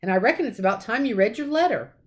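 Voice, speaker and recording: A woman's voice: on the high side, expressive, quick pace, voice pushed up, lightly acted. A woman voicing a big, rustic giant man, kindly but easily outraged, in thundering, folksy exclamations for a storybook. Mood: proud.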